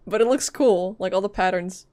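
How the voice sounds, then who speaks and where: A woman's voice: mid-range voice, lively intonation, normal speed, darkish tone. A woman chatting casually on a game livestream, joking and talking back to the characters.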